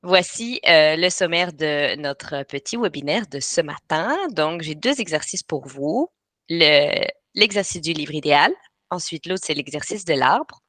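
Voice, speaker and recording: Bright-voiced Belgian woman coaching writers. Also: low-pitched, expressive, unhurried, no echo. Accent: Belgian French. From Belgium (Brussels). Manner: talks in bursts with many fillers and restarts. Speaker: writing coach and webinar host. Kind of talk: live webinar presentation. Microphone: video call.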